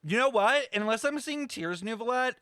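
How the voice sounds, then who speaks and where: A man's voice: very high-pitched, lively intonation, normal speed, bright, forceful tone, smooth, no echo. A man streaming a playful, slangy live reaction to a game's story. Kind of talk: casual live reaction commentary.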